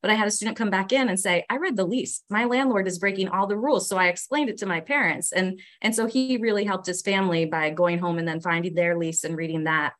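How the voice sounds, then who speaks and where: A woman's voice: mid-range voice, quick pace, few pauses, fairly bright tone. A woman teacher sharing classroom stories in a panel discussion, with frequent fillers. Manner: anecdotal, with fillers and restarts.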